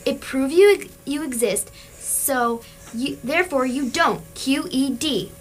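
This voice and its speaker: A woman's voice: sits high, unhurried, a little hiss or hum, low-quality recording. A woman playing a smug, argumentative human debater with a pert, chirpy manner in amateur radio theatre.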